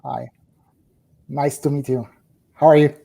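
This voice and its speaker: A man's voice: mid-range voice, flat delivery, a little slow, vocal fry, mellow, husky. A man hosting an interview podcast over a video call, asking short questions with some fillers. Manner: friendly, brief prompts with fillers. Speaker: podcast host.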